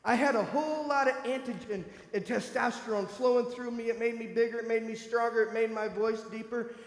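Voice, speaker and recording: Earnest man with a high voice, echoey church. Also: steady tone, fairly quick. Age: over 50. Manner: earnest, conversational, addresses listeners directly. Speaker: speaker at a church service. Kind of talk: personal testimony to a congregation. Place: church sanctuary. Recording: echoey hall with long reverb.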